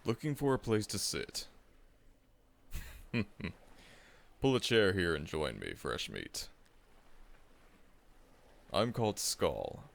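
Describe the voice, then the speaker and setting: A man's voice: deep voice, wildly swooping pitch, slow, vocal fry, pauses often, heavily acted. A man voicing a seasoned, friendly veteran monster hunter in an audio roleplay, chuckling often and teasing the listener.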